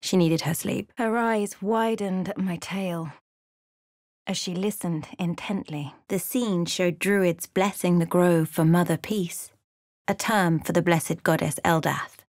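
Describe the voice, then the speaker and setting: An actress voicing a brooding, watchful female adventurer in a fantasy video game, telling the tale in a measured, hushed, dramatic way. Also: on the low side, no room sound, clean studio sound, lightly acted. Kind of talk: dramatic narrated game voice lines.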